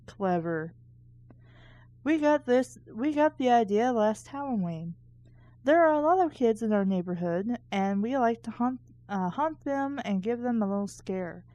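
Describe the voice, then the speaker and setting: A woman's voice: a little high, lively intonation, measured pace, warm tone, dry recording. A woman hosting a book reading show, reading aloud casually and breaking off for sarcastic, critical asides.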